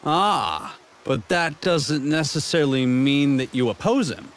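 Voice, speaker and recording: A man's voice: fairly high pitch, lively intonation, unhurried. An actor playing a wry, skeptical young male adventurer in a video game, casual and sardonic. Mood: wary. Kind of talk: English video game dub acting.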